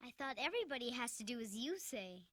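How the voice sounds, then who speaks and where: A woman playing a spirited, headstrong young girl in an animated drama, blunt, bossy and emphatic. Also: mid-range voice, fast, dry recording, clean studio sound. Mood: reassuring.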